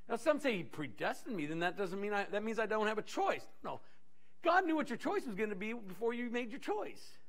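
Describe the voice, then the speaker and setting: A middle-aged man preaching a sermon on a church stage, animated, pacing with many restarts. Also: very high voice. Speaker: pastor. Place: church stage in a large dim hall.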